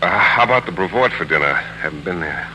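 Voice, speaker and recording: An actor playing a polite, disillusioned war veteran in a 1940s radio drama, terse and understated. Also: male voice, sits low, expressive, brisk, sharp tone, full character voice, poor audio. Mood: subdued, frank. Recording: hissy old 1940s radio recording.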